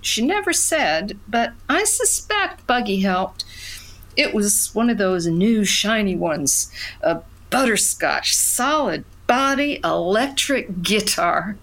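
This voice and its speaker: A woman's voice: medium pitch, lively intonation, takes it slowly, a bit bright, heavily acted. An actress playing a wistful narrator who recalls her childhood in a folksy storytelling style for an audio drama. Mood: fond. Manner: reminiscing, folksy.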